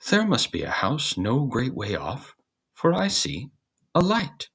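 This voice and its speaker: Storybook rooster, acted by a man, shift+, eager, exclamatory, swooping upward, slightly husky, unhurried. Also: wildly swooping pitch, a bit bright, full character voice. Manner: exclamatory, swooping high above the reader's voice. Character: boastful loud rooster, male, exclaiming and eager.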